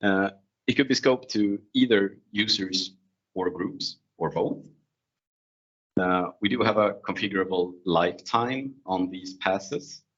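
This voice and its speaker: Male tech presenter, non-native English, low-pitched and conversational, muffled lo-fi meeting audio. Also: a little slow, fairly bright tone. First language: not English. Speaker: presenter, IT security consultant. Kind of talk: informal technical presentation with slides. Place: online video call screen share. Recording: dull, lo-fi online meeting audio.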